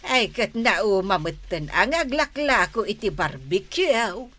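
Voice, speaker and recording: Nosy female gossip, Ilocano accent, sharp bright voice, drawn-out pace, radio comedy. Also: medium pitch, very animated, heavily acted. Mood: amused, mocking. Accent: Filipino, Ilocano. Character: gossipy, giggly woman neighbor, nosy and excitable.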